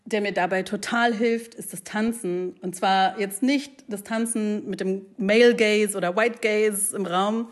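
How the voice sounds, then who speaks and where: Reflective woman in her forties. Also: mid-range voice. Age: 40s. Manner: reflective, searching for words with many fillers; mixes in English phrases. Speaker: guest. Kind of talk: casual conversation.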